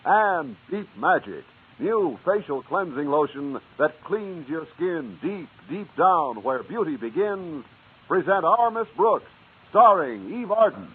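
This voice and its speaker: A man's voice: fairly high pitch, very animated, slow. A man announcing a sponsor and show in 1950s radio style. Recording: tinny, hissy old radio broadcast.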